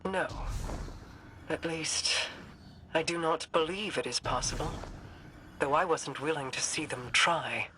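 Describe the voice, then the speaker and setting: A woman's voice: low-pitched, sharp tone. Guarded regal queen, noisy backdrop. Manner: measured, formal, cool. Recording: clear background noise.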